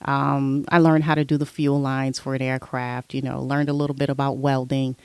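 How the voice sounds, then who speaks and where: A middle-aged woman, a podcast guest, telling stories from her past in a relaxed, conversational way. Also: extremely low pitch, normal speed, clean tone, dry recording. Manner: relaxed storyteller, recounting her past at length. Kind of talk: casual interview chat.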